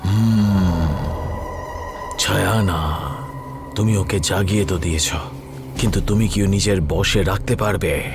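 A man playing a menacing, boastful dark sorcerer in a horror cartoon, with a gloating, theatrical delivery. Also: low voice, slow, long pauses. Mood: commanding, doubting. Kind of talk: animated horror cartoon dialogue. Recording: loud music under the voice.

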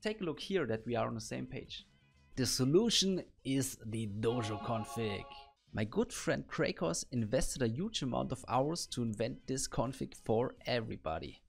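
A man's voice: mid-range voice, lively intonation, moderate pace, gravelly, faint music behind. A man in his thirties, a gaming coach, talking through a game tutorial in an upbeat, explanatory way from a home office on a studio mic. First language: German. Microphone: boom arm studio mic.

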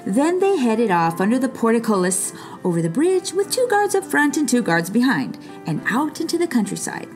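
A woman reading a children's story aloud expressively, with dramatic pauses and stretched words. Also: a little high, very animated, a little mellow, faint music behind. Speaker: storybook reader.

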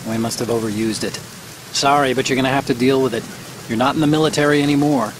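An American actor playing an earnest, friendly young tech expert who gives chatty, explanatory mission support in a video game. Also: male voice, mid-range voice, steady tone, fast talker, background noise, natural voice. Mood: apologetic, friendly. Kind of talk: video game cutscene acting, radio dialogue.

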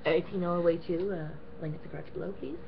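A young woman co-hosting a casual, profane rant, animated and full of fillers like "um" and "like". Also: on the low side, rapid-fire, vocal fry, dull audio, slight background noise. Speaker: co-host. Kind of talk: casual ranting chat.

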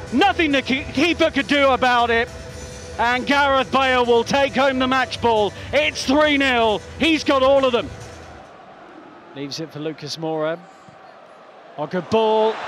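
A man giving excited, emphatic live football match commentary as a commentator. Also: very high-pitched. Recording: broadcast over crowd roar and music. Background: stadium crowd, music.

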